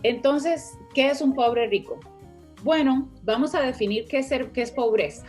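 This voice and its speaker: A woman's voice: sits high, moderate pace, a little mellow, soft background music. A woman from Rica, a finance teacher speaking as the guest in an online interview and explaining her ideas step by step. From Costa Rica.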